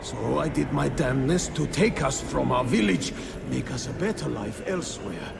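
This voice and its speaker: An actor playing the remorseful ghost of a peasant-born squire, mournful and halting, in a video game. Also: male voice, medium pitch, big pitch swings, creaky, over loud music. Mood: sorrowful. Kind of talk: dramatic video game acting.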